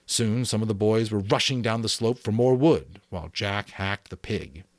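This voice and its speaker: A man narrating a novel as a dramatic audiobook reading, expressive and theatrical. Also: lowish voice, moderate pace, no echo, studio quality.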